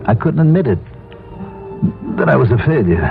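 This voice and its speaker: A man's voice: mid-range voice, big pitch swings, moderate pace, creaky. An actor playing an ashamed, desperate middle-aged family man out of work, confessing his troubles in a 1970s radio drama. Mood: bitter, ashamed. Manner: confessional, tense narration and dialogue. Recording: tinny 1970s radio broadcast, music behind.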